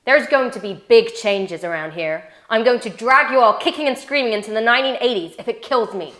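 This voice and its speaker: A woman's voice: fairly high pitch, expressive, brisk, fairly bright tone. A woman playing a domineering, defiant corporate shareholder in a table read of a 1980s boardroom drama, commanding, scornful and theatrical. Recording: roomy, echoey indoor sound.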